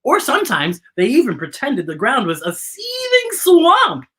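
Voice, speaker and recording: A woman's voice: sits high, huge pitch swings, measured pace, fairly bright tone. A woman hosting a children's story time, reading a picture book aloud in a playful, theatrical way with chatty asides to the young listeners.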